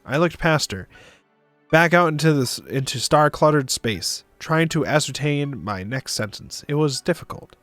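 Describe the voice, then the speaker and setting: A man's voice: fairly high pitch, animated, measured pace, fairly bright tone, no echo. A man narrating a visual novel aloud for a stream, with casual asides. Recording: music behind the voice. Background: music.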